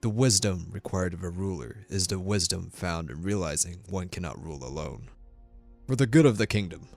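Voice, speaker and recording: A man playing a stern, dignified king and demanding father in a fantasy audio drama, speaking formally with firm, quiet authority. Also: low voice, expressive, normal speed, faint music behind. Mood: grave, instructive.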